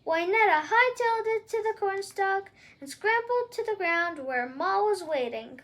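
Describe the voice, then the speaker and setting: A woman reads a children's tale aloud. Her voice is strikingly high, almost childlike, and very lively in its rise and fall. She takes her time over each phrase. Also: measured pace. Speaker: storybook reader. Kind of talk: expressive read-aloud children's storytelling.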